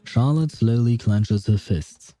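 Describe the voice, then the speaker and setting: A man reads a game story aloud in a very mellow, relaxed voice. He takes it slowly, at a measured pace and slightly low pitch, with faint music behind him. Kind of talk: story read-aloud with casual reactions.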